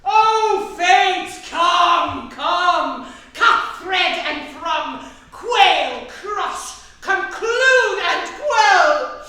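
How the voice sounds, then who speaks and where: A woman playing a melodramatic male tragic lover in an amateur staged Shakespeare reading, declaiming in a drawn-out, overblown way. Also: extremely high pitch, animated, pushed and bright, full character voice. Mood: horrified grief. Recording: echoey room from far off, faint noise.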